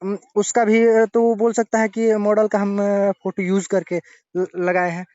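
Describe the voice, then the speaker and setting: A man arguing haltingly as he questions someone in a confrontational phone interview, often cutting in to press his point. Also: sits high, completely flat delivery, darkish tone, no room sound. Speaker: interviewer questioning a singer over a phone call. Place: phone call recording. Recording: dull lo-fi recorded phone call.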